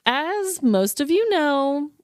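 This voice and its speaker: A woman co-hosting a podcast, chatting and reading stories aloud in an animated, exclaiming way. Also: high-pitched, slow, dry recording, clean studio sound. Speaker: podcast co-host.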